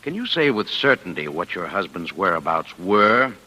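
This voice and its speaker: An actor playing a brusque, domineering police lieutenant in a 1940s radio crime drama, curt and interrogating. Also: male voice, deep voice, sing-song, normal speed, pushed and bright, exaggerated voice. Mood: stern, impatient. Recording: reverberant old radio drama recording.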